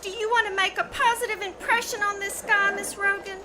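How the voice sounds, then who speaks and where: A woman's voice: very high voice, steady tone, fairly quick. A woman playing a perky, confident, slangy teenage girl in an old-time radio comedy. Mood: brash. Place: small theater stage, live performance. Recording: noisy live stage recording.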